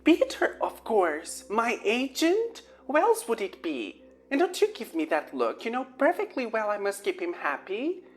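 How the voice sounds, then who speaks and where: A woman voicing a dramatic, flustered teenage girl witch who talks in a high-strung, theatrical way. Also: huge pitch swings, a little slow, hardly any pauses.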